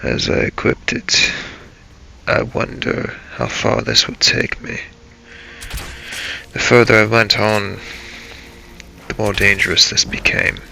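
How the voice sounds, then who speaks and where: Brooding vampire adventurer played by a man, game role-play narration. Solemn, dramatic, halting; gravelly, with sharp edge. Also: on the low side, very animated, slight background noise, full character voice, low-quality recording. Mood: grave, measured. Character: brooding male vampire adventurer narrating his dark journey. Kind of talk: improvised in-character role-play narration over gameplay.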